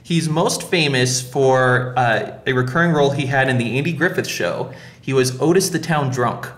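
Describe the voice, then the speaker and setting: A man's voice: mid-range voice, moderate pace, fairly bright tone. A man hosting a casual podcast conversation in a joking, self-aware way. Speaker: podcast host. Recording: roomy, echoing sound.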